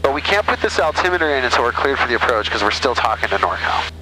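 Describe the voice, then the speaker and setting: A man of average pitch coaches in flight at a brisk pace. He sounds encouraging, like an instructor beside his student. His headset audio carries clear cockpit noise. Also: big pitch swings. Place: small aircraft cockpit. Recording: noisy aircraft cockpit, engine drone.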